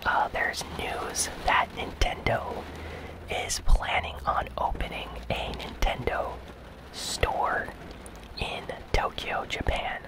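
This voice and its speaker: A host whispering gaming news in a breathy, unvoiced ASMR style, informal and keen in wording. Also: poor audio.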